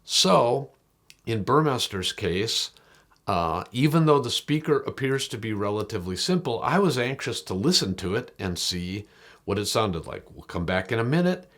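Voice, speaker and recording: Low-voiced man giving a considered review. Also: very animated, moderate pace, a bit bright. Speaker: audio magazine reviewer and host. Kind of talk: unscripted product review talk to camera.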